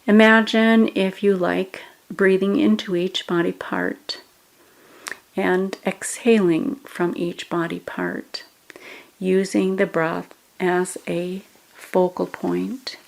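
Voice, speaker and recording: A woman with a slightly low voice leads a guided meditation. She speaks very slowly, in measured, instructional phrases. Pauses separate the short phrases. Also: level tone, gravelly, poor audio.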